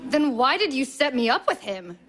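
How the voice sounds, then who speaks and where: Fragile, high-voiced young woman. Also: very animated, normal speed, noisy background. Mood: curious, sympathetic. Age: young adult. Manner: plaintive, probing with questions. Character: fragile, needy young woman seeking sympathy. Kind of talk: naturalistic audio play dialogue.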